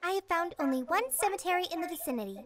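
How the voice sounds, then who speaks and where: A woman's voice: extremely high pitch, sing-song, rapid-fire. A woman voicing a polite, analytical girlish artificial intelligence in a video game, with precise, formal phrasing. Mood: matter-of-fact. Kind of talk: English video game dub acting. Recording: game music behind the voice.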